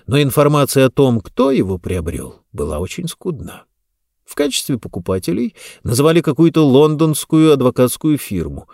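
A man narrates a novel in the first person with an expressive delivery. His mid-pitched voice swings animatedly through each sentence, and the recording is close and dry, with very little room sound. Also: dry recording. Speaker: audiobook narrator.

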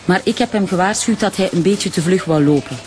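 A woman's voice: lowish voice, brisk, darkish tone, close to an everyday voice. An actress playing a proud, middle-aged widowed Turkish shopkeeper and protective mother in a radio drama, emphatic and indignant. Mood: regretful, guarded. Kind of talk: naturalistic radio drama acting.

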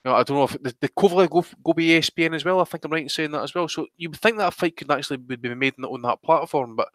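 A quick-talking man with a bright, forceful edge to his voice, chatting casually on a podcast. He rambles and circles back on his words as he thinks aloud, and the mic sound is dry and close. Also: a little high, dry recording. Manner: rambling, repeats himself while working out his point. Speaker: podcast co-host. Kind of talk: casual podcast chat about boxing.

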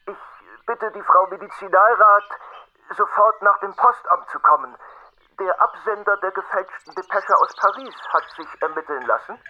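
High-pitched, officious postmaster. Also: male voice, dull audio, slight background noise. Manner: formal, officious. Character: brisk, officious postmaster on the telephone. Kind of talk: radio drama acting.

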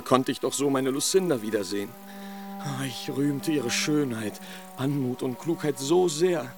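A man's voice: mid-range voice, lightly acted. Man acting a lovelorn, despairing young nobleman. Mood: wistful. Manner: ornate, impassioned storytelling. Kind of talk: German radio play acting.

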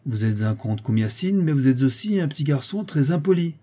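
A man's voice: mid-range voice, expressive, fairly quick, mellow, breathy edge, pitched above the performer's own voice. A man voicing a placid young woman, a humble dependent in a storybook, speaking politely with a touch of condescension. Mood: coolly forgiving.

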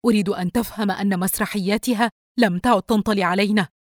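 A woman's voice: medium pitch, fairly even intonation, quick pace, no room sound, clean studio sound, close to an everyday voice. A woman voicing a stern, cold, fed-up mother who gives curt commands in a dramatised audio story. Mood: indignant.

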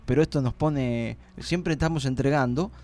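A man's voice: medium pitch, normal speed, darkish tone. A man with an Argentine accent hosting a live radio show in a casual, rambling, colloquial chat.

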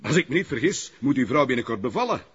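An actor playing a pompous, evasive middle-aged burgomaster in a TV crime drama, with formal, wordy phrasing and a Flemish Belgian accent. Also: male voice, mid-range voice, very animated, quick pace, fairly bright tone, breathy edge, heavily acted. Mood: placating. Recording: muffled, lo-fi broadcast audio.

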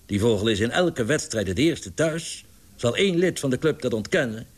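A man's voice: lowish voice, expressive, normal speed. A man voicing a big, boisterous, doting pigeon owner in a storybook, exclaiming and coaxing with plaintive indignation. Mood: indignant.

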